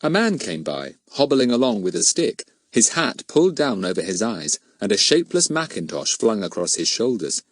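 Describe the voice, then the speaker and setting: A man narrating a children's story from a script in an expressive, animated way. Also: medium pitch, moderate pace, hardly any pauses, no room sound. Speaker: audiobook narrator.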